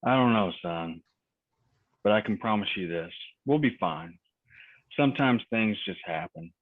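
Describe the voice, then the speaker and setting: A man playing an awkward, resigned father who is gently reassuring in a hesitant manner, in a drama table read. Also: on the low side, lively intonation, a little slow. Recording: thin phone-like video call.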